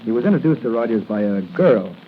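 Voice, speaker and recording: An actor playing a confident young patrolman in a 1940s radio drama, brisk, assured and earnest. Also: lowish voice, animated, lightly acted. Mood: earnest, resolute. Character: confident young male rookie patrolman, secret crime-fighter. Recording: noisy, muffled 1940 radio recording.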